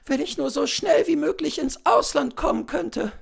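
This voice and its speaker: A man voicing an irritable, self-pitying sick gentlewoman, fretful and aggrieved, in a classic story reading. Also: very high-pitched, moderate pace, gravelly, pitched above the performer's own voice, heavily acted. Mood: bitter.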